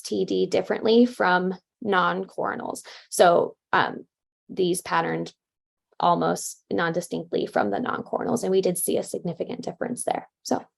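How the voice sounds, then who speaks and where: This is a woman presenting her research at an academic conference. Her pitch sits in the middle, and a creaky, gravelly edge runs through her voice. She keeps it conversational, with many fillers and quick self-corrections as she points out the results.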